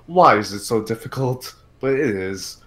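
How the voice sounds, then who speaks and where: A man hosting a casual podcast livestream about films and games, talking haltingly and repeating words as he thinks aloud. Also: mid-range voice, lively intonation, fairly bright tone.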